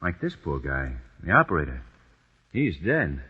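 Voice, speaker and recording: An actor playing a tough, level-headed man taking charge while trapped in an elevator, speaking gruffly in 1940s radio acting. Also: very deep voice, wildly swooping pitch, normal speed, over loud music, heavily acted. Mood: tense. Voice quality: gruff, commanding. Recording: dull old radio recording.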